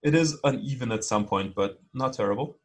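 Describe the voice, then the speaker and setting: A man's voice: mid-range voice, fairly quick, darkish tone. A man co-hosting a podcast in casual chat, rambling with lots of fillers. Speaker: podcast co-host.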